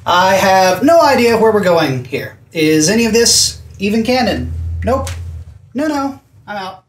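A woman playing a theatrical, easily scandalized storyteller in an audio drama, with expressive delivery. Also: mid-range voice, measured pace, slight background noise. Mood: indignant. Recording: roomy echo, music behind.

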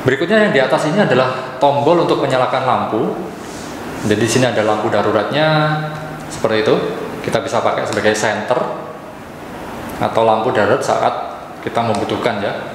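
A young man presents a gadget review in a casual, explanatory way. His voice sits at an average pitch. It is recorded in a very echoey room with long reverb and some faint noise. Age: young adult. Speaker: product reviewer and channel host.

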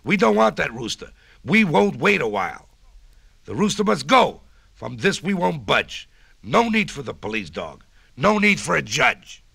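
A man voicing a crowd of indignant farm animals, shouting in singsong rhymes. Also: fairly high pitch, big pitch swings, a little slow, creaky, slightly rough, no echo, studio quality, pitched above the performer's own voice, full character voice.

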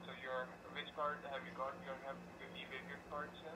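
Halting male call agent on noisy speakerphone. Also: mid-range voice, little pitch movement. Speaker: call centre phone agent. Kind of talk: phone customer service conversation. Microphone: phone speaker picked up by room camera. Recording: noisy phone call played over speakerphone.

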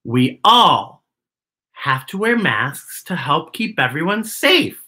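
A man voicing a gentle picture-book grandfather who explains things in a deliberate, reassuring way. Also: a little high, very animated, full character voice. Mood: explaining, reassuring.

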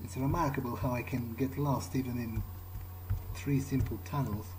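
Casting: male gamer, medium pitch, wry muttered in-character commentary, clear background noise. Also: fairly even intonation, normal speed, darkish tone. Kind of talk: in-character game commentary, thinking aloud. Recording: clearly noisy, game ambience behind.

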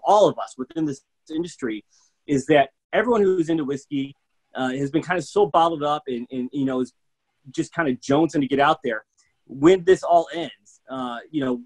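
A man's voice: mid-range voice, poor audio. Middle-aged man, rambling and upbeat. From Michigan, USA. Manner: rambling, filler-heavy, enthusiastic. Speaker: bourbon educator guest. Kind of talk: casual video-call interview. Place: home room with a TV.

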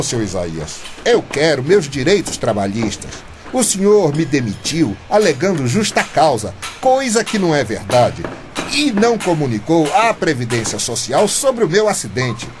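Aggrieved construction worker for radio soap. Brazilian, colloquial, insistent, with very mobile intonation. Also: male voice, on the high side. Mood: defiant. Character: indignant, aggrieved injured male construction worker. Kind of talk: radio soap opera acting.

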